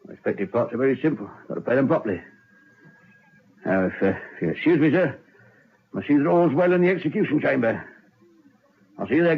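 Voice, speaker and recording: Proud, nervy prison hangman, animated delivery. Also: medium pitch, gravelly, husky, full character voice. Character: proud, dutiful prison hangman losing his nerve. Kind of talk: old radio drama acting. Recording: dull old radio recording.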